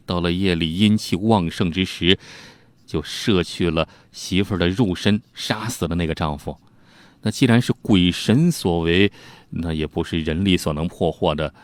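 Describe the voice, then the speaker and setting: A man's voice: low-pitched, dry recording, natural voice. A man voicing a deferential yamen clerk in a storytelling narration, explaining things in a chatty, slightly hesitant way. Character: male yamen clerk, deferential, puzzled, explaining an old case.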